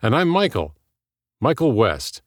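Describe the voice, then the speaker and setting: A man's voice: mid-range voice, wildly swooping pitch, a little slow, no echo, clean studio sound, pitched above the performer's own voice. A man voicing a wry, jealous man who puffs himself up in an audiobook story. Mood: posturing.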